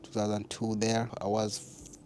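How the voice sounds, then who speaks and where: Low-voiced young man from Uganda. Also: completely flat delivery, measured pace, a little mellow, slight background noise. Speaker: interviewee. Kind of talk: documentary interview. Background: music.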